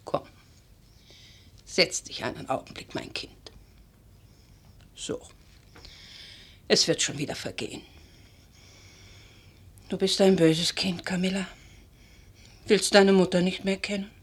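A woman's voice: lowish voice, takes it slowly, pauses often. An actress playing an older, poor village mother, insistent, motherly and reproachful, with plain questioning speech in a German radio play. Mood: soothing, chiding.

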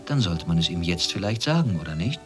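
An actor playing a cynical, menacing East German secret police officer in a radio play, speaking in a sardonic, deliberate, falsely modest way. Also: male voice, sits low, sing-song, soft background music. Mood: cold, insinuating.

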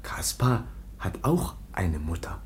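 An actor playing a childlike young man who speaks in broken, halting phrases, sometimes whispering. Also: low-pitched, very animated, exaggerated voice. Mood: hushed whisper. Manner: halting broken simple speech, often whispering. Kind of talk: radio play acting.

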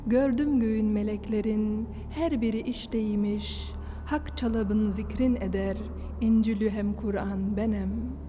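Very dark, slow woman reciting poetry. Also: mid-range voice, fairly even intonation, a little hiss or hum. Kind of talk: solemn Turkish poetry recitation. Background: music.

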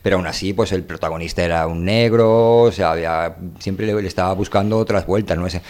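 A man hosting a radio show, talking casually about books in a halting, informal, rambling way. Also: low-pitched, darkish tone. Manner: halting, rambling, informal, trailing into filler tags. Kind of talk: casual book discussion.

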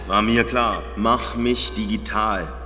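A man's voice: on the low side, fairly even intonation, takes it slowly, music in the background. A man playing a grumpy, weary hermit who is the last human alive, grumbling sarcastically and crudely. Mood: sarcastic. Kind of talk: audio drama acting.

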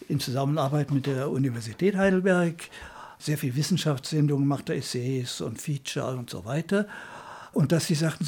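An elderly man with a husky, somewhat high voice talks conversationally. He looks back on his work as in a relaxed interview at home. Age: 70s or older. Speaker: interviewee, retired radio drama director. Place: at home in an apartment.

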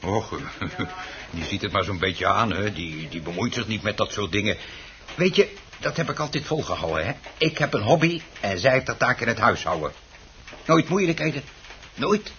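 An actor playing an eager, proud and anxious hobby grower who stammers and repeats himself when excited, in a radio play. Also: male voice, on the low side, normal speed. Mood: dismissive. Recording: dull, slightly noisy old radio recording.

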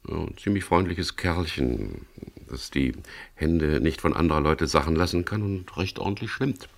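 Very deep, creaky-voiced wry skipper. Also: male voice, expressive, normal speed, full character voice. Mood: probing, dry. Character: wry, guarded boat skipper narrating his own crime story. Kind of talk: 1970s German radio crime drama, narration and dialogue.

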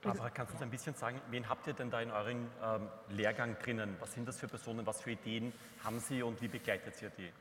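A man's voice: medium pitch, steady tone, fast talker, hardly any pauses, a bit bright. A man moderating a workshop panel in a conference hall, prompting the panelists informally. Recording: long hall reverb, faint noise.

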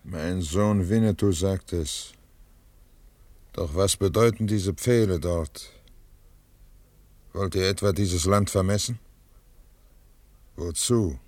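Deep, slow, solemn old chief. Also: male voice, a little mellow, no room sound, distant mic. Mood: grave, questioning. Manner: solemn, deliberate, reproachful. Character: dignified older Apache chief, grave and stern father. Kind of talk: German radio drama acting.

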